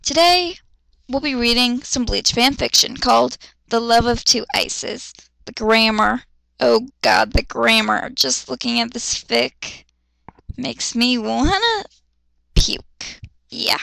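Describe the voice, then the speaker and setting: High, creaky-voiced woman, sarcastic reader. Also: animated, takes it slowly, sharp tone, poor audio. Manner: exasperated, sarcastic, blunt. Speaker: reader and commentator. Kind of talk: dramatic fan fiction reading with ranting asides.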